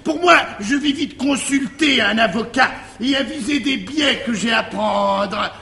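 An actor playing an irascible, sardonic old gentleman in a classical French stage farce, needling with emphatic, sarcastic declamation. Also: very high voice, a little slow, creaky, faint music behind, exaggerated voice. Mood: vexed. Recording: echoey 1961 stage recording.

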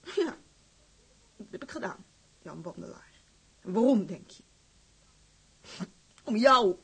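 An actress playing a blunt, feisty working-class seaman's wife in a radio drama, with a husky, sharp-tongued delivery. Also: mid-range voice, lively intonation, slow. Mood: emotional.

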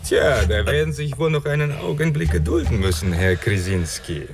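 A man's voice: medium pitch, lively intonation, normal speed, a crowd in the background, close to an everyday voice. An actor playing a polite, formal middleman for shady creditors in a German radio crime drama, talking in roundabout phrases with hesitant asides. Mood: courteous, musing. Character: suave, courteous male go-between for shady creditors.

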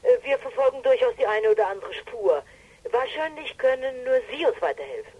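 A woman's voice: fairly high pitch, expressive, fairly quick, darkish tone, slightly rough, dull audio. An actress playing an evasive female police inspector in a German radio drama, official and hesitant in manner. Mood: guarded.

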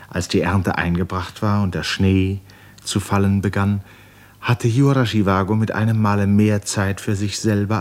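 Man as narrator of an old german radio play, lowish voice, composed, literary, matter of fact storytelling. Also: natural voice.

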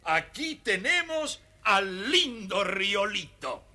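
Blustering husky high-pitched storybook miller. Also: male voice, very animated, slow pace, bright, forceful tone, full character voice. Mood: delighted, fond. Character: blustering, soft-hearted village miller in a fairy tale.

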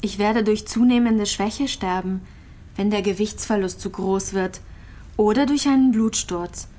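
An actress playing a lonely, gravely ill clinic patient in a radio drama, speaking plainly and wistfully. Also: female voice, medium pitch, measured pace, mellow, close to an everyday voice. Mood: resigned, frank. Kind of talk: naturalistic radio drama monologue.

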